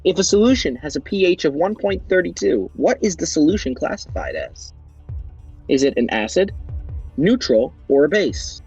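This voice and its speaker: Husky young man hosting a game quiz. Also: fairly high pitch, lively intonation, normal speed, a little mellow, lo-fi sound, soft background music. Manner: upbeat quizmaster, reads questions and answer choices. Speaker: quiz show host. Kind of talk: fan-made game show hosting.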